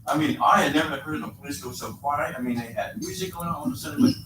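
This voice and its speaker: A man is telling a rambling story to the board at a public meeting, and his voice swings up and down in a lively way. He sounds a bit high for a man. The sound comes from well back in an echoey room, with a noisy hiss over everything. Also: quick pace, vocal fry, distant mic. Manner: rambling, chatty, with lively laughter. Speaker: resident speaking at public comment.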